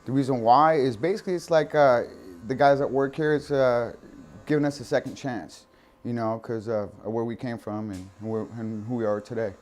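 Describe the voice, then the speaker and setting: A man's voice: medium pitch, normal speed, darkish tone. An American man in his forties talking conversationally in a casual documentary interview, often adding "you know". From San Diego, California, United States. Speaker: barber. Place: inside a barbershop. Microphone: lapel mic.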